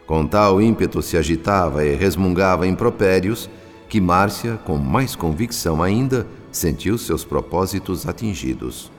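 Deep, solemn spirit narrator, reverberant. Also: male voice, lively intonation, measured pace. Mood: solemn, dramatic. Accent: Brazilian. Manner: solemn, theatrical, literary. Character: solemn invisible spirit narrator observing a family drama. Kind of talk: dramatic scripted narration, radio drama. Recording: long reverb over soft music. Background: soft music.

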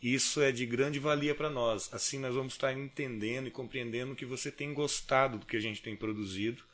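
A man's voice: medium pitch, fairly even intonation, a little fast, a bit bright. A Brazilian man with a Brazilian accent hosting a podcast, giving short affirmations and a polite closing to viewers. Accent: Brazilian Portuguese. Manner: brief affirmations, polite outro. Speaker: podcast host.

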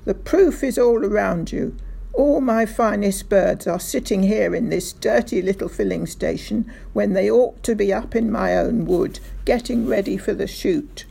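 A woman voicing a blustering, angry rich male landowner who shouts and bullies. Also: a little high, animated, unhurried, creaky, few pauses, breathy edge, pitched above the performer's own voice, full character voice. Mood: furious. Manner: shouting, furious, overbearing.

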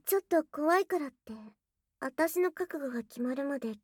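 A woman's voice: high voice, normal speed, no echo, lightly acted. A voice actress playing a young tsundere girlfriend, bashful yet teasingly bold, in an intimate ASMR audio drama on a close binaural mic. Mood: shy, hesitant. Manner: flustered, stammering, breathy asides dropping into whispers. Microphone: close binaural microphone, dry studio.

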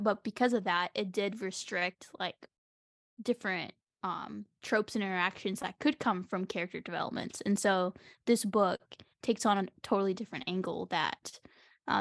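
A woman's voice: medium pitch, creaky, dry recording, clean studio sound. A young woman co-hosting a casual book podcast, explaining her points in an analytical way. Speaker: podcast co-host. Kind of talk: casual book discussion chat.

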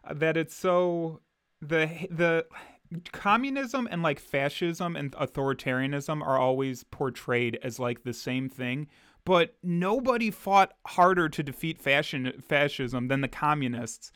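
A man's voice: fairly high pitch, expressive, measured pace, a bit bright, clear, resonant tone, no echo, studio quality. A man co-hosting a casual political podcast, earnest and explanatory, filling his sentences with loose "like"s. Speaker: podcast co-host.

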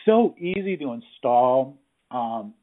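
A man hosting a casual interview over the phone, talking informally and effusively in halting phrases. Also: mid-range voice, sing-song. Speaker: interviewer, hands-on tradesman. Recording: husky-sounding, thin phone-line audio.

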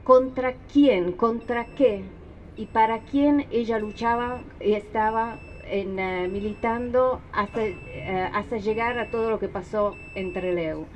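Woman with an Italian-tinged accent, slightly high voice, slow and hesitant speech, faint noise behind. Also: low-quality recording. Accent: Italian-tinged Argentine Spanish. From Argentina, raised in Italy. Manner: hesitant, with frequent fillers. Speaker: relative of a victim giving a speech. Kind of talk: public speech.

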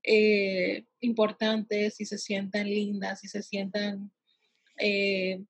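A woman from the United States answers interview questions in a husky voice with little pitch movement. She speaks haltingly, often stretching pauses to find the next word. The sound is dry and close. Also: monotone, no echo. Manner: halting, searching for words with drawn-out pauses. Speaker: interviewee, salon worker and empowerment advocate for girls.

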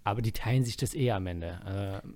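A man's voice: lowish voice, moderate pace, a little mellow, no echo. A man hosting a podcast who chats casually, reads out listener messages and teases his co-host with dry humor.

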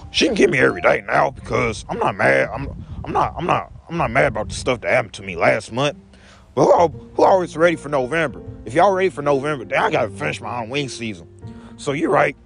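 A young man with a gravelly, creaky voice that swings up and down a lot as he rambles through a daily vlog. He keeps echoing his own phrases back as "you" statements. Faint music plays behind him. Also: medium pitch, a bit bright. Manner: repeats each phrase back in second person. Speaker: vlogger.

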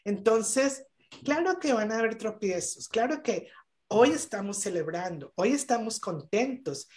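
A woman's voice: a little high, expressive, unhurried, darkish tone. A woman speaking as a guest on a podcast panel over a video call, in an earnest, emphatic way with many hesitations. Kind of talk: motivational talk on a podcast panel.

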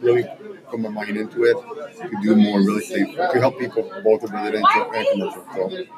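A middle-aged man from Los Angeles speaks as an interview guest in a somewhat low voice. His explanations are informal and halting, and a loud crowd chatters behind him. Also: sing-song. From Los Angeles area, United States. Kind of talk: casual interview chat.

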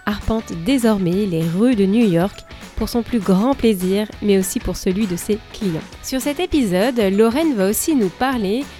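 A woman from France hosts a podcast in a friendly interview style. Her French accent comes with a mid-pitched voice, and music plays behind her. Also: normal speed, mellow.

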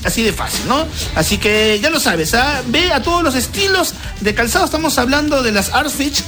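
Man, high voice, Peruvian accent, radio host, casual repetitive chat, music behind. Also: pushed and bright.

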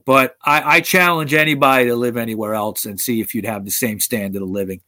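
A man's voice: mid-range voice, fairly even intonation, fairly quick, bright, forceful tone, no echo, studio quality. A man hosting a talk show, speaking bluntly with frequent swearing and restarts. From United States. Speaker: podcast host. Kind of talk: opinionated casual talk.

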